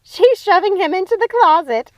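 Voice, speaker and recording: A woman voicing a giddy, excitable adult witch sister who squeals and cheers. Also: extremely high pitch, normal speed, a little hiss or hum, voice pushed up. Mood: gleeful. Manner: squealing, gleeful.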